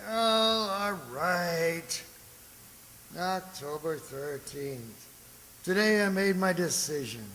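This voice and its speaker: A man's voice: on the high side, a bit bright. A man playing a tired, good-natured husband who grumbles colloquially in a radio drama. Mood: weary, reluctant. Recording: hissy, reverberant radio play recording.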